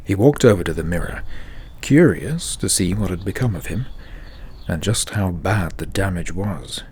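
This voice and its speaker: A man's voice: low voice, lively intonation, moderate pace, darkish tone, slight background noise. A man narrating a scripted fantasy audio drama as the storyteller.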